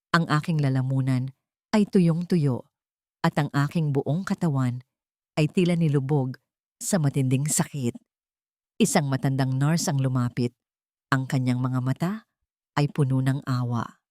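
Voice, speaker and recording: A woman tells a dramatic first-person story in a low, steady voice. Her delivery is measured and somber. The recording is close and dry, with no room around it. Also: dry recording. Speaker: audiobook narrator.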